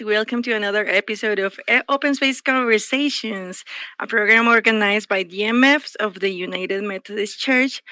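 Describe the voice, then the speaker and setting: A woman's voice: on the high side, bright, forceful tone, smooth, no room sound. A woman from El Salvador, a native Spanish speaker, speaking English as she hosts a radio conversation program.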